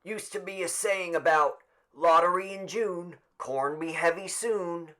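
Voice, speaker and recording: A man's voice: high voice, a little slow, pushed and bright, voice pushed up. A man voicing a cranky, petulant old man full of scorn in an audiobook. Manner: petulant, stout, snorting scorn. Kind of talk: audiobook character voice.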